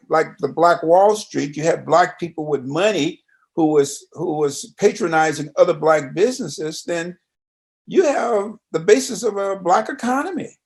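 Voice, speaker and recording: Man, American accent, fairly high voice, heavy vocal fry, folksy radio interviewer, conversational.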